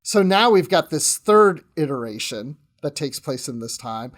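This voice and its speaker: A man's voice: a little high, sing-song, moderate pace, fairly bright tone. A man chatting casually as a podcast guest, thinking aloud as he goes. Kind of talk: casual chat, discussion.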